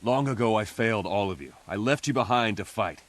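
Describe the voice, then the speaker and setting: Low-voiced, solemn noble hero. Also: male voice, expressive, brisk. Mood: solemn regret. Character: noble, remorseful human male hero, solemn and selfless. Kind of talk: video game cutscene acting.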